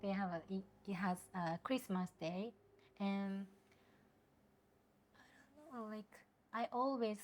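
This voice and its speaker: A woman in her twenties from Japan, with a Japanese accent, speaking English as a second language in a casual interview and pausing often to search for words. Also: mid-range voice. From Osaka, Japan. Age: 20s. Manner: hesitant, searching for words, many fillers. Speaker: guest.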